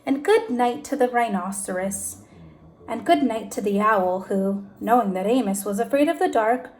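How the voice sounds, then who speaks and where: High, animated woman reading a storybook. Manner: expressive, deliberate storytelling with emphasized phrases. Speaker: teacher reading aloud. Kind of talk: children's storybook read-aloud.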